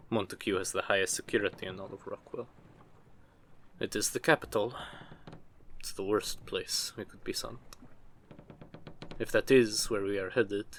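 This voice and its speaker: Man playing a low-voiced, guarded mercenary. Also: fairly bright tone, a little hiss or hum. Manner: hesitant, sardonic, teasing. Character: gruff, guarded captured mercenary with a soft side. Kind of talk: intimate audio roleplay acting.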